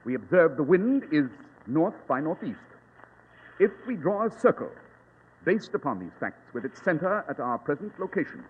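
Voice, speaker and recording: An actor playing a brilliant, precise English detective with a British accent and a formal, pompous manner in old radio style. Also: male voice, on the high side, very animated, moderate pace, slightly rough. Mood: confident, deductive. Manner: pompous, exact, formal deduction. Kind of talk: 1940s radio acting. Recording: tinny, reverberant, noisy old radio recording.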